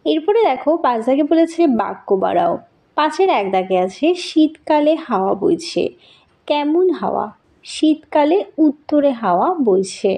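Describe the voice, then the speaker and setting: Female schoolteacher, high voice, instructional delivery, reads questions and answers straight to pupils. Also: expressive, normal speed, warm tone. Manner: instructional, addresses pupils directly. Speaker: teacher.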